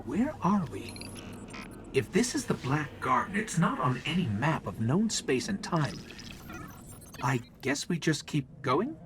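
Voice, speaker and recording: A man voicing a small, earnest, curious robot companion in a video game, with an expressive, urgent delivery. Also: on the high side, unhurried, noisy background. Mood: uneasy wonder. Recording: game music behind the voice. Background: video game soundtrack.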